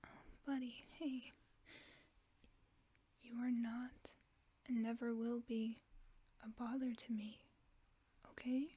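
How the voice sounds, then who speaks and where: A woman as a whispering gentle werewolf friend. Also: fairly high pitch, level tone, takes it slowly, pauses often, husky. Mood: soothing. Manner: soft soothing whisper. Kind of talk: whispered sleep-aid audio roleplay.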